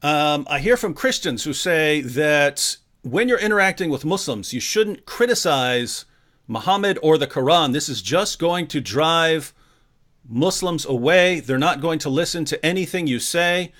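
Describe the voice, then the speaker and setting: Man, livestream host, fairly high voice, jokey and energetic. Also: measured pace. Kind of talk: live-stream talk show hosting.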